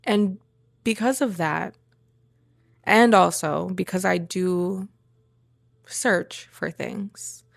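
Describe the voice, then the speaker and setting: Woman with a creaky voice, reflecting personally. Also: fairly low pitch, extremely slow, long pauses, no room sound. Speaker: vlogger sharing a personal journey. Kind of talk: reflective personal monologue to camera.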